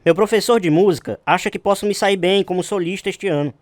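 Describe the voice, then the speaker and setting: A man's voice: high voice, fast, dry recording, natural voice. An actor playing an earnest man recalling his youth in scripted radio drama narration. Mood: modest.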